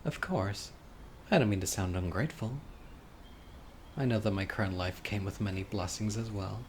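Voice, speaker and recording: A man acting a critically ill, wistful and obsessive young man in an intimate, earnest audio roleplay monologue, musing softly to his date. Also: on the low side, normal speed, close to an everyday voice. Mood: wistful, hopeful. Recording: clear outdoor insect and bird noise. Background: birdsong, crickets and insects of a lakeside.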